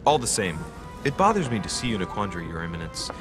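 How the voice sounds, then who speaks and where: A composed young gentleman in a cinematic adventure game. His voice sits fairly low, and his formal, deferential phrasing has a calculating smoothness. Background music is clearly present. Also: big pitch swings, fast talker. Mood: persuasive, earnest. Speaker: actor in a narrative adventure game.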